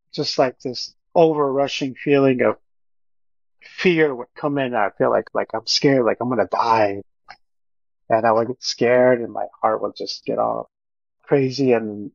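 A middle-aged man telling his personal story as a podcast guest, speaking earnestly and haltingly, often repeating his phrases. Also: medium pitch, gravelly, no room sound, lo-fi sound.

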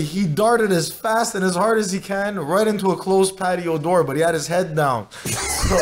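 A man co-hosting a podcast, swapping stories casually with friends in a profane, animated way. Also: sits high. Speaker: podcast co-host. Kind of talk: casual storytelling banter among friends.

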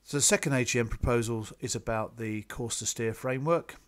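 A man presenting club business to members in a measured, businesslike, explanatory way, partly from a script. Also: mid-range voice. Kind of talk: slide presentation, semi-scripted explanatory talk.

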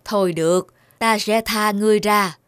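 A man's voice: high-pitched, fairly even intonation, moderate pace, a bit bright, smooth, no room sound, studio quality. A man playing a kindly, resigned old hunter in a cartoon fairy tale. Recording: music under the voice.

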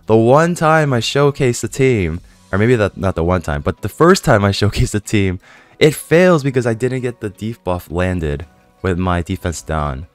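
A young man with a hoarse voice from a cold, chatting casually to viewers while playing a game, rambling with lots of fillers. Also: lowish voice, dry recording. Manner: chatty, rambling, many fillers and self-questions. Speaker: gaming YouTuber.

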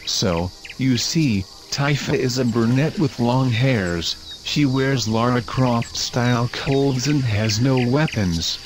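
A man's voice: medium pitch, takes it slowly, hardly any pauses, a little hiss or hum. A synthetic male text-to-speech voice reading a scripted video review in a mechanical way. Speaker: video narrator reviewing game mods. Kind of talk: scripted narration.

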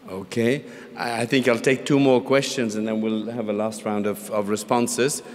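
Brisk male moderator, lowish voice. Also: fairly even intonation. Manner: brief, polite, businesslike. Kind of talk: moderating questions. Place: large conference hall. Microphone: podium mic. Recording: reverberant conference hall.

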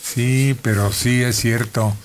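An older man from Mexico reading news notes slowly at a radio studio table. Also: mid-range voice, fairly even intonation, noisy background. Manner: slow, deliberate reading from paper. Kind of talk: reading news items on air. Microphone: desk microphone.

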